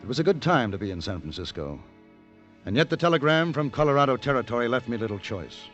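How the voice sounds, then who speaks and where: An actor playing a suave, worldly gunfighter with a courtly, theatrical manner in a 1950s radio western. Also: male voice, mid-range voice, very animated, fast, a bit bright, faint music behind. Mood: reflective. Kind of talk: 1950s radio acting and narration. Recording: dull vintage radio recording.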